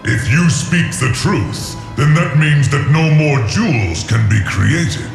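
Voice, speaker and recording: An actor playing a proud, menacing ancient dragon in a video game, speaking in a grandiose, imperious, archaic manner. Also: male voice, on the high side, expressive, takes it slowly, gravelly, full character voice. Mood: skeptical. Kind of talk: dramatic video game voice acting. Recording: echoing voice under prominent game music. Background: game music.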